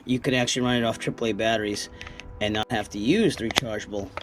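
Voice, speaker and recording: A man's voice: on the low side, fairly even intonation, fast, noisy background. A man giving a casual, plain-spoken product review, explaining things step by step as he talks.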